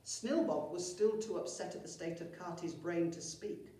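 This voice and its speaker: A woman with a fairly low voice reads a children's story with expression. She sounds like a school storyteller, recorded some way from the microphone in a very echoey room. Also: normal speed, warm tone. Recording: very echoey room, far from mic.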